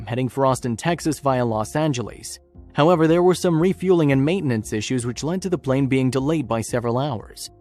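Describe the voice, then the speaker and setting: A man's voice: mid-range voice, darkish tone. Male story narrator over music. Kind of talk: scripted documentary-style story narration. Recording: music bed under narration. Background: background music.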